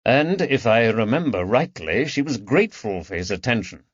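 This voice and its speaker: A man's voice: medium pitch, expressive, normal speed, husky, no echo. A man voicing an earnest, slightly awkward detective in a plain, everyday voice. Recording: slightly dull dry recording.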